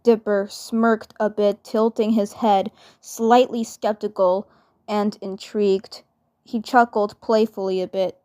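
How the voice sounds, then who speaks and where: A woman narrating fan fiction haltingly, often repeating words as she goes. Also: medium pitch, little pitch movement, mellow, clear, resonant tone, no room sound, studio quality.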